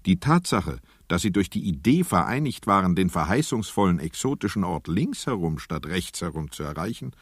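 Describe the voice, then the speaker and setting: A man's voice: low voice, breathy edge, no room sound, clean studio sound. A man narrating a satirical radio play in a solemn, mock-heroic storytelling manner.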